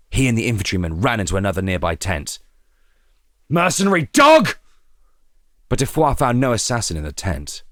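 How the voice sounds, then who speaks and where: A man narrating a scripted story in a dramatic, expressive and suspenseful way. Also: sits low, no room sound, studio quality.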